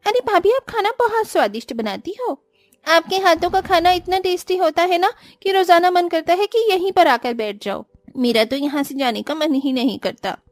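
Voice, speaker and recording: A woman's voice: very high-pitched, normal speed, dry recording, clean studio sound. A woman voicing a demanding, gluttonous sister-in-law in a cartoon moral story, with a whiny, entitled manner. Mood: greedy, pleased.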